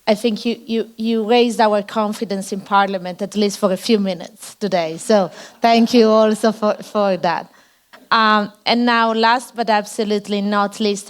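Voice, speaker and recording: Woman panel moderator, warm and encouraging, middle pitch. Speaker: session moderator.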